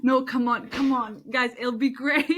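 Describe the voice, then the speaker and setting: A woman hosting a podcast in casual chat, bubbly and quick to laugh. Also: high-pitched, fairly bright tone. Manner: bubbly and giggly. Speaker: podcast host.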